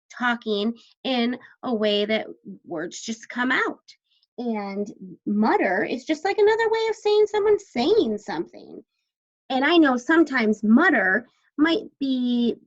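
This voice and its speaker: Lively-pitched woman teaching young children. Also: muffled recording. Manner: simple, deliberate explaining to small children. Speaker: teacher guiding young children through a story. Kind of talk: child-directed questions and word explanations.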